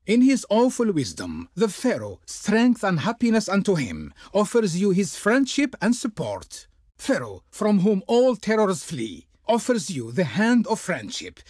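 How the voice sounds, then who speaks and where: An actor playing a haughty, pompous envoy of an ancient Egyptian Pharaoh in a strategy video game, declaiming in ornate, theatrical phrases. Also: male voice, high-pitched, a little mellow, slightly rough, dry recording, studio quality, heavily acted. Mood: grandly persuasive. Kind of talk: theatrical historical strategy game diplomacy lines.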